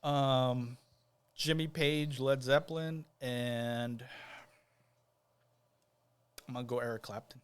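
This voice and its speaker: A man's voice: mid-range voice, fairly even intonation, slow pace, long pauses, smooth. A man talking casually as a guest on a podcast, easygoing and rambling, with plenty of fillers and restarts. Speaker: guest, judicial candidate.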